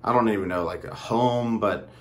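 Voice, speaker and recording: A man telling a personal story casually, in a rambling, halting way with frequent asides. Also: fairly low pitch.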